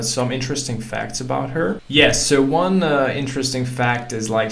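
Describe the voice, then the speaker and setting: A man hosting a language-learning channel, talking casually to camera in halting English with many restarts. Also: mid-range voice, creaky. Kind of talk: casual talk to camera, reading quotes aloud. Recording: echoey room with faint ambient noise.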